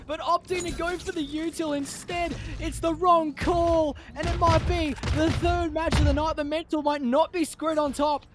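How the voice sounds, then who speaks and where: A man giving analytical esports color commentary, breaking into shouts at the big plays. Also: extremely high pitch, slight background noise. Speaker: esports co-caster.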